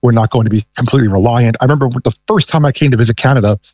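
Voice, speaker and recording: A man's voice: medium pitch, very fast, darkish tone, no room sound. A middle-aged or older man from New York with a thick New York accent, talking politics conversationally as a panel guest. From United States, New York.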